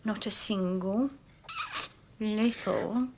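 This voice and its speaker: An actress playing a scheming, sharp-tongued wife who speaks with a sly, taunting edge. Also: female voice, medium pitch, drawn-out words, noisy background. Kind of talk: 1980s radio drama acting.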